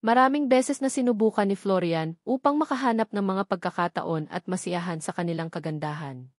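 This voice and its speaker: A woman's voice: medium pitch, a little fast, dry recording, studio quality. A woman reading a story aloud as a scripted audiobook narration.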